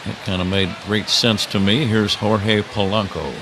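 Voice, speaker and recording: A man's voice: on the low side, flat delivery, moderate pace, crowd noise. An older man doing live radio play-by-play of baseball, breaking into casual banter, from a stadium broadcast booth. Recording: clear ambient ballpark noise behind.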